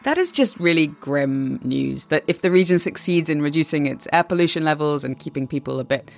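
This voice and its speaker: A woman's voice: deep voice, lively intonation, a little fast, creaky. A woman co-hosting a podcast who frankly asks for plain explanations. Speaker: podcast co-host. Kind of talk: podcast banter and questions.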